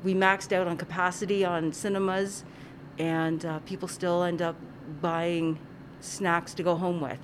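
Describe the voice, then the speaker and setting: A woman's voice: fairly low pitch, little pitch movement, unhurried, a little hiss or hum. A woman who co-manages a cinema, chatting earnestly in an interview recorded in a theatre lobby, with many ums. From Ontario, Canada. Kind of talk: casual interview.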